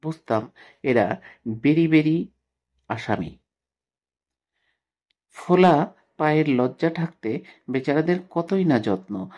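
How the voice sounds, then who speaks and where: A man speaking as a teacher, reading a text aloud and explaining it with frequent hesitations and pauses. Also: medium pitch, muffled recording. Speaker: Bengali literature teacher for school pupils.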